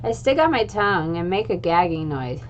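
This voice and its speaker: A woman's voice: fairly low pitch, big pitch swings, normal speed, muffled recording, a little hiss or hum. A woman who writes children's books, chatting casually with fillers and then reading a chapter aloud. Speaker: children's book author. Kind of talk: casual chat and read-aloud.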